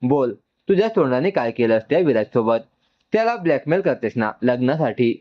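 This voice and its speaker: A man's voice: mid-range voice, very animated, a little fast, highs cut off, close to an everyday voice. A man voicing an angry, suspicious young woman who interrogates her sister in an accusing manner, in a story narration.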